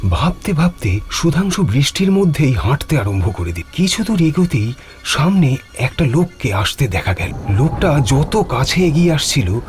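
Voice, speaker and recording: Animated male horror story narrator. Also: on the high side, normal speed. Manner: dramatic, suspenseful. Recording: music behind the voice.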